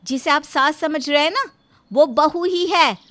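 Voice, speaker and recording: A woman voicing a sassy, sharp-tongued young daughter-in-law in a story, sarcastic and challenging. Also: high voice, measured pace, voice pushed up. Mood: indignant.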